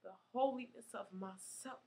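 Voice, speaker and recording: A young woman delivering a theatrical poetry monologue with dramatic, emotional, deliberate phrasing. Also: a little high, vocal fry, warm tone. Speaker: actress performing monologues.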